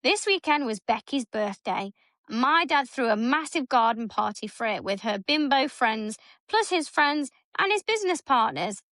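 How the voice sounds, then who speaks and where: A woman's voice: high voice, animated, normal speed, fairly bright tone, no echo. A woman in her thirties co-hosting a podcast, reading a story aloud in casual chat, blunt and swearing freely. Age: 30s. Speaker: podcast co-host.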